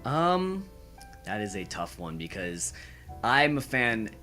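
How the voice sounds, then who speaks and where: A young man answering casually as a podcast guest, earnest and halting, repeating words. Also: fairly low pitch, big pitch swings, pushed and bright, soft background music. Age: young adult.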